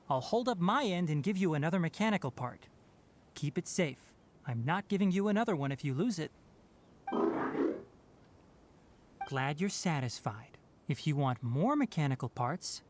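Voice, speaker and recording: An actor playing a young male forest researcher in a video game, speaking in a measured, precise, explanatory way. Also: on the high side, slight background noise, close to an everyday voice. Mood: dry, businesslike. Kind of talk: scripted video game voice acting.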